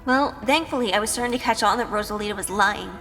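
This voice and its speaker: A woman's voice: medium pitch, fast, in a large hall, lightly acted. A woman playing a timid, sheltered young woman in an audio drama, hesitant and anxious. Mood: uneasy, reflective. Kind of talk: audio drama acting and first-person narration. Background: music.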